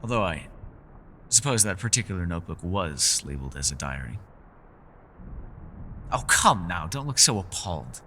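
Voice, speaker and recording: A man voicing a centuries-old elf in a fantasy roleplay, gentle, socially awkward and chatty, with formal, old-fashioned, apologetic phrasing. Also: low-pitched, big pitch swings, moderate pace, pauses often, a little hiss or hum, heavily acted. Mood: wry amusement. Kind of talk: fantasy ASMR roleplay voice acting.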